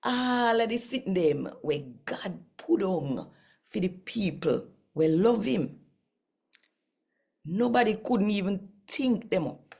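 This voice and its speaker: A Jamaican woman with a husky, gravelly voice, hosting a scripture reading channel in a deliberate, emphatic manner. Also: mid-range voice, lively intonation, a little mellow. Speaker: host and scripture reader.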